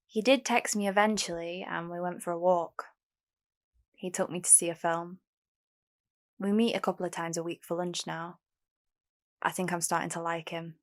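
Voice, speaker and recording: Casting: actress as young homeless singer, guarded yet hopeful, slightly low voice, candid audio drama delivery. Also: female voice, natural voice. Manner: plain-spoken, candid, short replies. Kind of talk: first-person audio drama narration and dialogue.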